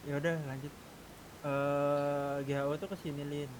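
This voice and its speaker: A man's voice: little pitch movement, measured pace. A man in a casual roleplay gaming discussion, explaining things and asking the others for their views. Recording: clearly noisy game voice chat, low rumble.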